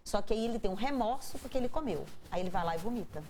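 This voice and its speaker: A woman's voice: mid-range voice, lively intonation, very fast, a little mellow, a little hiss or hum. A woman doctor with a Minas Gerais Portuguese accent, explaining health topics in an interview with examples and rhetorical questions. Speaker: doctor guest.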